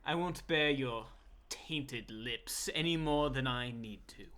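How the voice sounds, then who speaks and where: Slow, sulky, bright-voiced male vampire. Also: mid-range voice. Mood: sulky, cold. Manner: indignant, pouting, melodramatic. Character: jealous, wounded, prim male vampire, theatrically sulking. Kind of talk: dark comedy audio drama acting.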